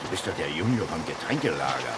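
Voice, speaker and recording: An actor playing an unhurried, amused bus driver in a German radio drama. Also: male voice, extremely low pitch, very animated, heavily acted. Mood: dryly amused. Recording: loud vehicle and rain noise.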